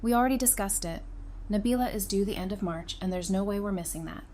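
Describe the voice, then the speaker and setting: A woman's voice: mid-range voice, quick pace, a little mellow, a little hiss or hum, natural voice. A woman playing a practical, easygoing survivor in an audio drama, speaking in a matter-of-fact, conversational way. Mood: explaining.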